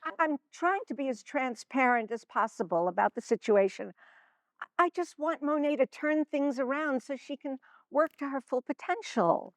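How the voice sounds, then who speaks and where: A woman playing an earnest, flustered schoolteacher in a stage play, speaking diplomatically with halting repetitions. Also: high-pitched. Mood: apologetic, flustered. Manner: hesitant, stammering, diplomatic.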